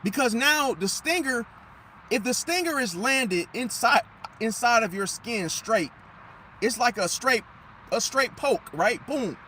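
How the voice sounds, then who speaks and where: A man, his high voice worn slightly hoarse, lectures with a preachy, emphatic rhythm. He keeps circling back to his point and asking questions he answers himself. Faint street traffic hums behind him. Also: expressive, measured pace, sharp tone. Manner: preachy, emphatic, repeats phrases and asks rhetorical questions. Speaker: astrology commentator. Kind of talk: casual talking monologue to viewers.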